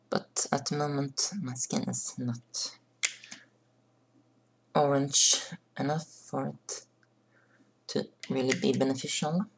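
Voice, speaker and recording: Hoarse young man, gravelly voice. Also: mid-range voice, drawn-out words, long pauses. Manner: chatty, rambling, frequent throat clearing. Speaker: vlogger. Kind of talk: casual makeup vlog chat. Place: small bedroom at a desk. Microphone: webcam close up.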